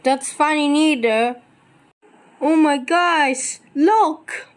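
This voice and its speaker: A halting, exclamatory voice doing amateur character dubbing for a homemade animation, on a very noisy homemade recording. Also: high voice.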